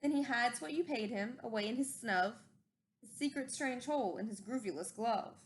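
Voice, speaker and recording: High-voiced woman reading a children's story. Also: normal speed, slight background noise. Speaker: storybook reader. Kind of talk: expressive children's read-aloud of rhyming story.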